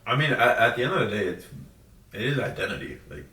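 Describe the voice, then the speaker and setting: A man's voice: mid-range voice, lively intonation, fast, bright, forceful tone. A man in his twenties hosting a casual chat, informal and slangy, echoing phrases. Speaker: podcast host. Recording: echoey room, distant, faint noise.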